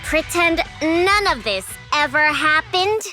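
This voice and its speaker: A woman voicing a bold, eager young gang fighter in a video game, blunt and forceful. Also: sits high, expressive, slow pace, soft background music, full character voice. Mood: indignant.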